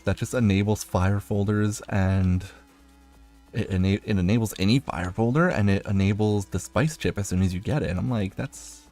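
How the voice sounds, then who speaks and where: A man's voice: lowish voice, normal speed, a little mellow, faint music behind. A man giving casual video game commentary as a streamer, rambling and thinking aloud as he plays.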